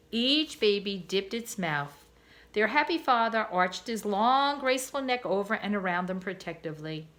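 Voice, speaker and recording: A woman's voice: mid-range voice, fairly bright tone. A woman teacher reading a children's novel aloud, careful with small slips. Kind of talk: storybook read-aloud.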